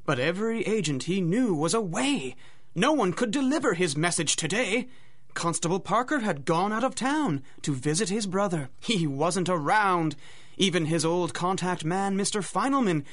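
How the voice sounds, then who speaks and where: A woman's voice: lowish voice, lively intonation, normal speed. A woman narrating a scripted rhymed adventure story, with a dramatic, singsong rhythm.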